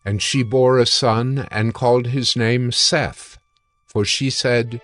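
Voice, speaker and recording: A man narrating scripture from a script in a measured, solemn manner. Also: medium pitch, fairly even intonation, a bit bright.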